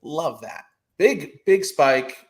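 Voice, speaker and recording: Guy hosting a trading podcast on a video call, kinda high voice, um-ing a lot. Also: lively intonation, slow. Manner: rambling, many fillers and restarts. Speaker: podcast host. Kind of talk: casual trading podcast talk.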